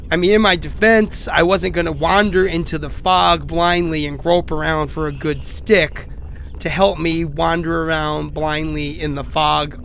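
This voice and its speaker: A man's voice: on the high side, gravelly, a bit bright, slight background noise. A man playing a sick, stranded man who rambles anxiously and wryly through recorded messages in a horror audio drama. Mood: sheepish, rueful. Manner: rambling, conversational, hesitant, wry. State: sick with a cough.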